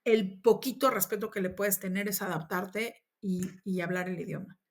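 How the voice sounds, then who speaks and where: A woman with a Mexican accent telling her own story in a casual conversation, with lively acted-out dialogue. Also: medium pitch, normal speed. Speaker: interview guest.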